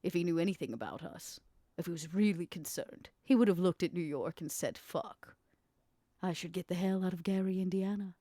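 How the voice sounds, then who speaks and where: Poised, scheming vampire woman played by a woman, low-pitched. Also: warm tone, no room sound, clean studio sound, lightly acted. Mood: contemptuous, vengeful. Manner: deliberate, dramatic pauses, stressed key words. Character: scheming, imperious female vampire crime partner, poised and ruthless. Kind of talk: in-character tabletop roleplay acting.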